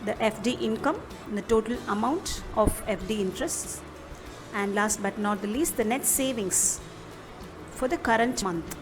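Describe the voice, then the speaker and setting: A woman with an Indian accent narrating an instructional software demo, explaining step by step in a measured manner. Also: mid-range voice. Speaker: product demo presenter. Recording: music behind the voice. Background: background music.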